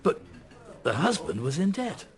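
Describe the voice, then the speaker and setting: A man's voice: fairly high pitch, sing-song, moderate pace. An actor playing a pensive middle-aged police detective in a radio drama, musing and hesitant as he mutters his thoughts. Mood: curious. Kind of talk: naturalistic radio drama acting.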